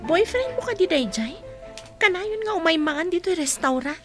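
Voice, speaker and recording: A woman's voice: very high-pitched, big pitch swings, moderate pace, loud music behind the voice. A woman playing a nosy, teasing young friend in an audio drama. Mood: curious.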